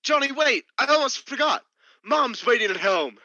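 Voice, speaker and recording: A man acting an exuberant, boyish long-lost brother in a radio play, excitable and loud. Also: very high voice, normal speed, dry recording, dull audio, heavily acted. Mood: eager, excited. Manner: shouting, excitable.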